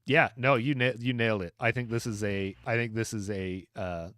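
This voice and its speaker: A man's voice: lowish voice, steady tone, moderate pace, fairly bright tone, smooth, dry recording. A man hosting a livestream voice chat, casually giving friendly, encouraging feedback on builds. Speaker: streamer host.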